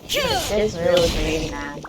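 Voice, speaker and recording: A woman's voice: fairly low pitch, huge pitch swings, slow pace, heavy background noise. A woman gamer giving casual, informal let's play commentary, reacting aloud to the game. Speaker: gamer narrating a playthrough. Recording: faint game music behind.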